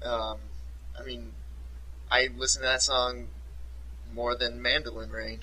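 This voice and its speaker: Man, mid-pitched bright voice, podcast host, casual and brief. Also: level tone, a little slow, low-quality recording. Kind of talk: casual interview chat.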